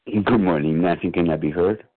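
A man, sharing at a phone support meeting in a halting, exclamatory way. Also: deep voice. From Cuba. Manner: halting, animated, exclaims often. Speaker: meeting participant. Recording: phone line.

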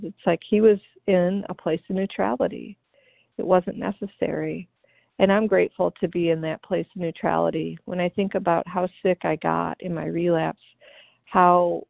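Earnest woman, low gravelly voice, halting grateful share over a tinny dry phone line. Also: fairly even intonation, no room sound. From Ohio, US. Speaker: meeting member sharing. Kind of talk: personal recovery share. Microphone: phone call.

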